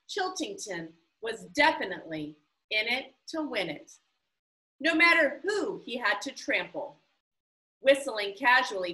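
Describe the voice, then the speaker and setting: A woman reads a children's chapter book aloud in a high, bright voice that leaps up and down with every character. She performs the story with animated expression. The room around her rings with echo. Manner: animated, voices the characters with big pitch jumps. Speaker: reader of a children's novel.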